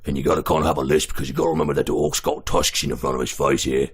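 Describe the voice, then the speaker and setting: A tusked brute of an orc, talking in a Cockney accent through a lisp, in a voice that sits extremely low and grinds with gravel. The letters get rolled and swallowed, and the tone is husky and rough. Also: male voice, steady tone, rapid-fire, full character voice. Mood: gruff. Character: gruff male orc with front tusks.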